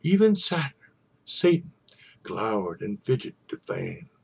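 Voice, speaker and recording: A man reciting an old narrative poem in a theatrical storytelling style, with dramatic pauses and swells. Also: fairly low pitch, wildly swooping pitch, creaky, a little hiss or hum. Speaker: poetry reader.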